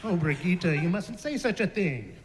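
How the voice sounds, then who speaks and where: A man playing Kurt, a wry old man of eighty-six who talks in a dry, quiet way, in a live stage skit. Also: sits high, animated, normal speed, in a large hall. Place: theater stage. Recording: far off with room noise.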